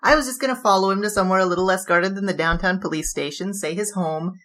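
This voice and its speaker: An actress playing a boastful, flamboyant female bandit king in an audio drama, with a theatrical, grandiose, quick-witted delivery. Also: medium pitch, fairly bright tone, close to an everyday voice.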